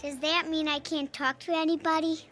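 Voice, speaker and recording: A cheerful, inquisitive little girl in a radio play. Her voice is high and bright, and she chatters with innocent, questioning directness. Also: little pitch movement, moderate pace. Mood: curious. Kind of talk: radio drama acting.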